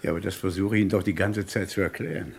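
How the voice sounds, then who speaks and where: An actor playing a menacing gangster boss in a German radio crime play, mockingly courteous and smooth-talking with a gravelly voice. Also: male voice, low-pitched, fairly even intonation, darkish tone, slight background noise. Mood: calmly commanding. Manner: ironically polite, smooth-talking, unhurried menace.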